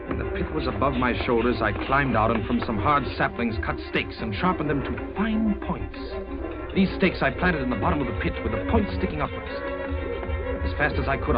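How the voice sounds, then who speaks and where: A man's voice: mid-range voice, lightly acted. An actor playing a hunted adventurer, narrating his own desperate flight with urgent, dramatic 1940s radio delivery. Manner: intense, dramatic, urgent first-person storytelling. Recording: dull vintage radio, loud music underneath.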